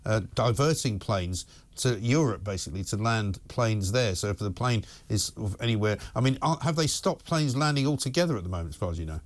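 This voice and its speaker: A man's voice: fairly low pitch, animated, fairly quick. A man presenting live news, putting direct questions to a guest in a conversational way with short interjections.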